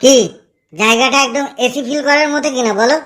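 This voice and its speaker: A mischievous young village lad, as a woman voices him, speaks in a lowered, put-on voice with a crude, teasing edge. He drops to a hushed, eerie tone for spooky tales. It sounds like a cartoon comedy. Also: fairly quick, soft background music. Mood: cheeky. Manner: crude, teasing, eerie when telling ghost talk. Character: cheeky young village man, mischievous drinker.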